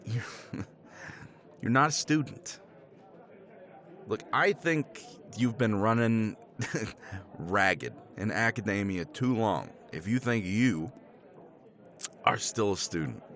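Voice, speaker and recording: A man's voice: fairly low pitch, expressive, takes it slowly, long pauses, fairly bright tone. A man playing a tipsy middle-aged professor in a romance roleplay, rambling with chuckles and casual swearing. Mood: persuasive. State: tipsy from scotch. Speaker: roleplay character.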